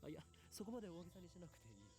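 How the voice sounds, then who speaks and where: A pompous, rapid-fire mad scientist. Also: male voice, a little high, sing-song, music in the background. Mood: grandiose. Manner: grandiose, theatrical declarations. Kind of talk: anime game voice acting.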